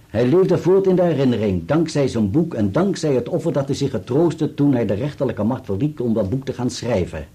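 An actor playing a precise, formal solicitor in a radio play, with an articulate delivery. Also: male voice, medium pitch, dark tone, breathy edge. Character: dutiful male solicitor reading an article aloud, precise and formal.

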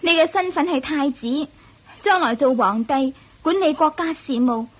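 An actress playing a tender, devoted young princess and mother in a Cantonese radio drama, speaking softly and affectionately with a questioning lilt. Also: sits high, moderate pace, close to an everyday voice. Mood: concerned, questioning.

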